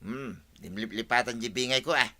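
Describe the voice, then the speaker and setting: A man's voice: medium pitch, lively intonation, moderate pace, vocal fry, pushed and bright, full character voice. A man with an Ilocano accent playing a grumbling, scheming village husband in a comedy radio drama, complaining and exclaiming.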